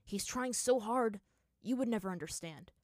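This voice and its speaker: A woman's voice: fairly high pitch, expressive, normal speed, a little mellow, no room sound, studio quality, lightly acted. Woman acting firm, impatient scarred man. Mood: firm, earnest. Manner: clear, unchallenging, insistent. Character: stern scarred older young man, firm, impatient guide.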